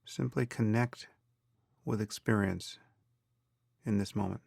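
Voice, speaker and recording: A man guiding a meditation as a teacher, speaking calmly and deliberately in measured phrases with pauses. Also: fairly low pitch, creaky, slightly rough, no echo, clean studio sound. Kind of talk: guided meditation narration.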